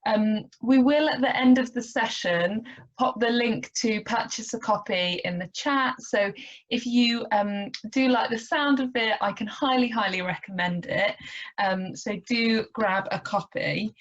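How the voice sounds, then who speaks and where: A woman's voice: fairly high pitch, a little slow, lo-fi sound. A woman hosting an online interview, speaking in an effusive, enthusiastic way over a video call. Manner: warm, effusive, enthusiastic praise. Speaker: host.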